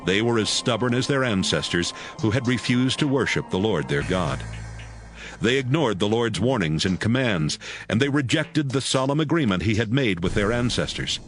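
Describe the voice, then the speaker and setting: A man with a fairly low voice narrates scripture in a dramatized audio Bible. His intonation is lively, and music plays underneath. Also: normal speed.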